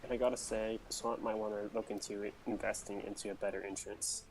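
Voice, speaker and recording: A woman's voice: very deep voice, flat delivery, fairly quick, a little mellow, poor audio. A woman streamer giving casual, wry live commentary on a video game. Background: game music.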